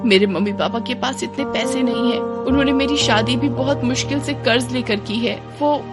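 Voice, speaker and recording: Timid, obedient young bride, played by a woman, pleading, fairly high voice over music. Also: normal speed, close to an everyday voice. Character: meek, obedient, worried young daughter-in-law.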